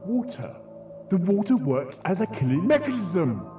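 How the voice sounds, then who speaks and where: A man's voice: high-pitched, big pitch swings, moderate pace. A man voicing an anxious cartoon pony detective in an audio fan story, thinking aloud theatrically. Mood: urgent. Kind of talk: dramatic audio fan fiction acting. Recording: dull lo-fi sound under loud music. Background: loud music.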